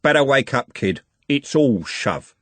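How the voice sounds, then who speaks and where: A menacing young gang leader, voiced by a male narrator, hissing out his threats slowly and low. The voice carries a breathy rasp, and the recording is dry. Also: big pitch swings, a bit bright, no echo.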